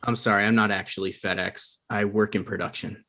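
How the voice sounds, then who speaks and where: A man's voice: on the low side, steady tone, a little fast, sharp tone. A man reading the part of an earnest, pushy young hopeful TV writer in a comedy table read. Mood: earnest, nervy. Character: eager, pushy male aspiring TV writer.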